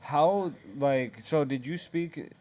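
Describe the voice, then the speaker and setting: A man's voice: fairly high pitch, slow, warm tone. A man from Sinaloa hosting a casual interview, mostly giving short agreeing replies and asking easygoing questions. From Sinaloa, Mexico. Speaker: podcast host. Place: barbershop lounge.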